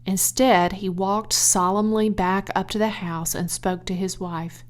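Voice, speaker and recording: Unhurried woman reading a children's story. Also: medium pitch, steady tone. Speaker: audiobook reader. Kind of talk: expressive children's story narration.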